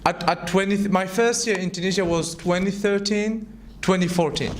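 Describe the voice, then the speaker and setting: A man in his twenties, an English teacher answering students' questions in class, lively and repeating words as he thinks. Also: high voice, moderate pace.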